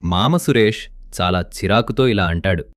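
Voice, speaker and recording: Low-voiced storybook narrator, man. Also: moderate pace, dry recording, clean studio sound. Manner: steady storytelling. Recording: faint background music.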